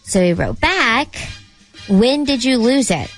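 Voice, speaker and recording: A woman's voice: a little high, very animated, slow pace, soft background music. A woman co-hosting a radio show, reading out and relaying messages on air.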